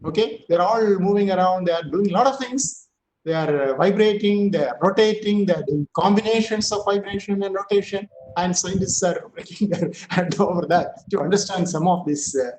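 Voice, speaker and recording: Male lecturer, high voice, relaxed and jokey teaching, echoey room. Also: noisy background. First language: not Tamil. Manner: chatty, joking asides with filler pauses. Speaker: physics professor. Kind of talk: online lecture.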